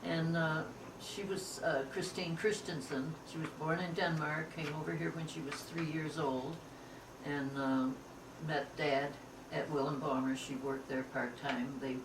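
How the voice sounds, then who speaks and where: A woman's voice: sits low, steady tone, measured pace. A ninety-year-old American woman recalling family history in informal talk, piecing memories together as she goes. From upstate New York, United States. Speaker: interviewee, family elder. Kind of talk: informal oral history recollection. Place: echoey room, away from the microphone. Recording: echoey, distant, clear background noise.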